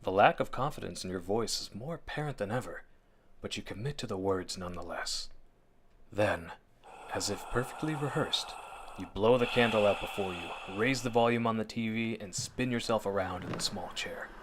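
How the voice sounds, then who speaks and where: Low-voiced man narrating suspenseful horror. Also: noisy background. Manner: dramatic, deliberate storytelling. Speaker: narrator of a horror audio drama. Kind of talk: suspenseful scripted second-person horror narration in old radio drama manner.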